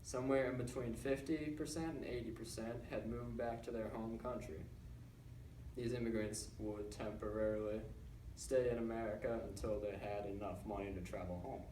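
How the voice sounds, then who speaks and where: A young man reading a scripted school newscast report in a halting, recited manner. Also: on the low side, steady tone, dark tone. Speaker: student news presenter in a class project. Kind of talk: scripted narration read as a newscast. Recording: roomy, distant mic with background noise.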